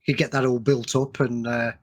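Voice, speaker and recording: A man hosting a casual interview podcast, talking haltingly and repeating his words as he goes. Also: medium pitch, flat delivery. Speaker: podcast host.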